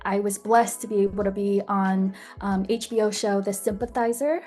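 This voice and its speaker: A woman's voice: medium pitch, fairly even intonation, moderate pace, warm tone, faint music behind. A young woman who grew up in the United States, chatting casually as an interview guest, laughing often and restarting her phrases. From born in Vietnam, grew up in Nashville, USA. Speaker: guest actress.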